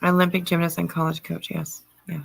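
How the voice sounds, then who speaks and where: A middle-aged woman hosting a livestream, chatting casually and answering viewer comments in a halting way with frequent pauses. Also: lowish voice, monotone, vocal fry, husky. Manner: halting, pausing often mid-sentence. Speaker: livestream host.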